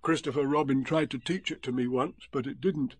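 A man reading a storybook aloud, voicing a slow, dreamy, muddled male teddy bear. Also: mid-range voice, steady tone, lightly acted. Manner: slow, vague, gentle.

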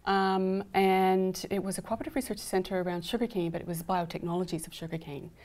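An enthusiastic middle-aged Canadian woman with a medium-pitched voice, the sort heard reminiscing about research projects at a faculty panel. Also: flat delivery, a little fast, darkish tone. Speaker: academic panelist. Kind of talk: conversational panel discussion. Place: indoor studio panel set.

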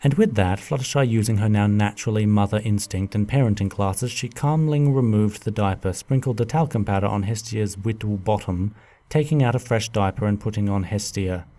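A man narrating a scripted story in an expressive, dramatic way. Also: on the low side, a little fast.

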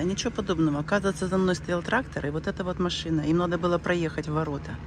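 Older woman vlogging casually over noisy ambience. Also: fairly low pitch. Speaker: travel vlogger. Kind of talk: casual first-person vlog chat. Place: outdoors by the shore, in a car, in a cafe.

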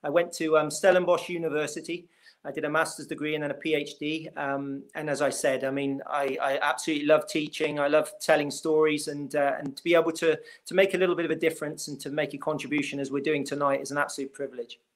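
A middle-aged man with a West Country English accent speaks quickly, his voice sitting a bit higher than most men's. He talks as a historian guest over a webcam call, fluent and storytelling in manner. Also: level tone. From West Country of England. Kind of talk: interview on a video call. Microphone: video call webcam.

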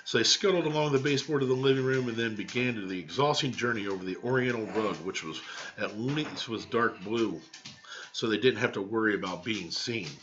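Male American teacher, storybook read-aloud, forceful tone, casual asides, lo-fi webcam sound. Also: fairly low pitch, a little fast. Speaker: teacher reading aloud to children. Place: small home room, indoors. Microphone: webcam at desk distance. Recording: dull lo-fi webcam audio.

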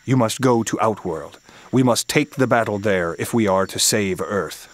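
An actor playing a solemn, commanding thunder god who mentors warriors in a video game, speaking formally and with measured gravity. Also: male voice, lowish voice, natural voice. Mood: grave. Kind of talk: video game cutscene acting. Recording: loud music behind the voice.

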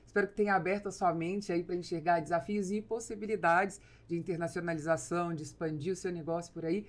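A woman's voice: lowish voice, steady tone, fast. A woman with a Brazilian accent, hosting a podcast conversationally at a close studio microphone. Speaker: podcast host. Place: small foam-padded podcast studio. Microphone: studio microphone on boom arm, close.

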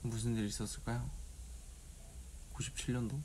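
A man's voice: mid-range voice, little pitch movement, normal speed, a little mellow, a little hiss or hum. A man in his twenties with a regional Korean dialect accent, hosting a casual late-night phone livestream in a dim room, drowsy and meandering as he mumbles to himself. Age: 20s. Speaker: idol livestream host. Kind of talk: casual solo livestream chat with viewers. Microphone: phone camera at arm's length.

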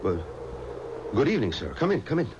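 An actor playing a proper, skeptical undertaker and boss in a radio mystery, courteous but commanding. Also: male voice, mid-range voice, huge pitch swings, fairly quick. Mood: courteous, firm. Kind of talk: 1970s radio mystery acting. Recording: dull old radio recording with noise.

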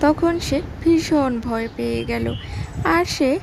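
A woman's voice: high-pitched, takes it slowly, vocal fry, background noise. A woman narrating a scripted children's story. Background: music.

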